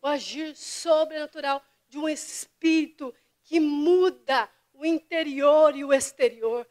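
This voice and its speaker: A woman pastor delivering a fervent sermon to her church, dropping to hushed whispers and rising to impassioned cries. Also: high voice, unhurried. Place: church service.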